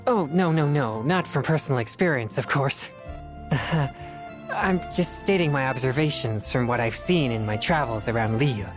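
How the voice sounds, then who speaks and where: Disciplined young male exorcist, fantasy game: low register, measured and sincere delivery, light music underneath. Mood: flustered, earnest. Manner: earnest, measured, formal. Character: earnest, disciplined teenage boy exorcist from a fantasy land. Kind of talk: video game character voice lines. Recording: music behind the voice.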